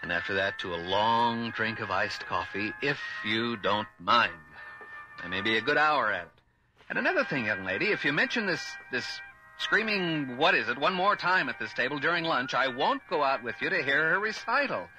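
An actor playing a teasing, wry father in a radio drama, speaking in a dry, mock-pompous, deliberate way. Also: medium pitch, animated, over music, close to an everyday voice, low-quality recording. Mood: mock-stern. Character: wry, teasing, unhurried dad of a young girl.

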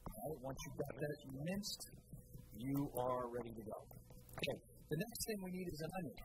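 A man's voice: medium pitch, big pitch swings, fairly quick, very noisy. Teasing middle-aged male cooking host. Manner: teasing, instructive, laughs often. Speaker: cooking show host demonstrating cookware. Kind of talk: casual studio cooking demo. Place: studio kitchen set.

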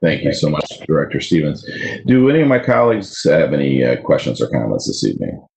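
A man's voice: a bass voice, big pitch swings, fast, gravelly, a little mellow, rough recording. A man in his thirties chairing a city council committee over a video call, formally reading legislation aloud. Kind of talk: formal council meeting proceedings. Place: home room on a video call.

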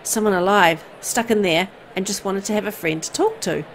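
A woman reading visual novel text aloud as expressive, storytelling narration. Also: medium pitch, moderate pace, slight background noise, poor audio. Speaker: video game player and narrator.

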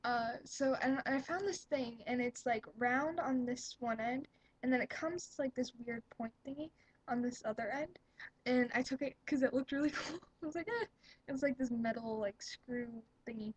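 Chatty young woman with a breathy voice. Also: high voice, normal speed, no room sound, low-quality recording. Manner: rambling, upbeat, lots of fillers and giggles. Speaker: vlogger. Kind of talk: casual webcam chat. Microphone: webcam.

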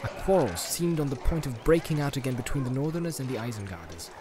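A man's voice: mid-range voice, animated, fast, background noise. A man narrating a fantasy novel in a dramatic, scripted audiobook style. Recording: faint ambient soundscape behind.

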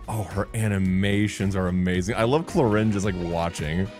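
A man's voice: deep voice, normal speed, a little mellow. A young man giving enthusiastic, exclamatory, casual reaction commentary as a streamer, speaking close into a desk microphone. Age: young adult. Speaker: streamer reacting to a game trailer. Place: small home streaming room, indoors. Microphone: close desk microphone on an arm. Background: music from the game trailer.

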